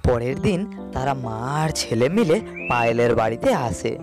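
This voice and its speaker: A man's voice: mid-range voice, expressive, normal speed. A man narrating a scripted cartoon moral story as its storyteller. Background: faint music.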